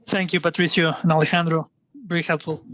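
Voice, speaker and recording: A man's voice: a little high, flat delivery, fast, fairly bright tone, rough recording. A man politely asking questions as an analyst on a business call.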